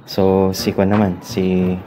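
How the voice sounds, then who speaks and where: Slow, low-voiced Filipino man giving a tutorial. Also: completely flat delivery, mellow, slight background noise. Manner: halting, searching for words, mixing in English terms. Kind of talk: casual hands-on product tutorial.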